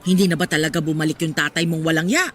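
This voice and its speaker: A woman's voice: low-pitched, fairly even intonation, rapid-fire, a bit bright. An actress playing a nosy, pious older village woman who pries and admonishes in a Filipino radio drama. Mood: prying. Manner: prying, admonishing, emphatic.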